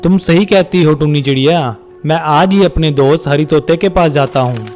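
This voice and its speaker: Man voicing a humble, higher-pitched father pigeon. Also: normal speed, a little mellow, soft background music, lightly acted. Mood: earnest, humble. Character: humble, worried middle-aged father pigeon. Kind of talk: Hindi moral-story cartoon dialogue.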